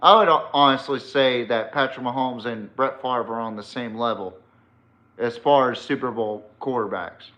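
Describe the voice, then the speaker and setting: A man's voice: medium pitch, normal speed, pushed and bright, highs cut off. A man hosting a sports podcast, giving casual, opinionated commentary in a blunt, emphatic way and swearing freely.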